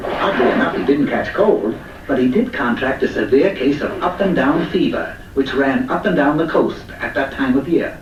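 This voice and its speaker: A man's voice: medium pitch, normal speed, recorded from a distance, lightly acted. A man playing a droll, mock-formal storybook narrator in a comic cartoon. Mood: wry, mock-formal. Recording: muffled, noisy, roomy playback from a video.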